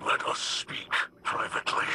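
A man voicing a stern, solemn alien leader in a video game, gravelly and breathy, with grave, formal speech. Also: moderate pace, background noise, natural voice. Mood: disapproving. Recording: game ambience over the voice.

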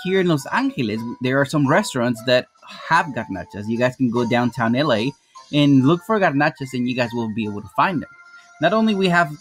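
A Guatemala-born man who teaches math, giving a casual slide presentation to his students and explaining things in a friendly, plain way. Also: mid-range voice. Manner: friendly, addresses students as "you guys", explains slowly. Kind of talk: informal classroom presentation over slides. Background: marimba music playing softly.

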